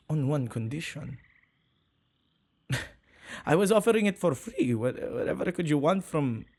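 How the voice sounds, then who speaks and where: A man's voice: mid-range voice, gravelly, darkish tone. A man playing a shy, awkward, kind-hearted witch who tends ghosts, speaking hesitantly in an ASMR roleplay. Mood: hesitant, surprised. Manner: hesitant, halting, stammering. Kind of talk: ASMR boyfriend roleplay, acted monologue.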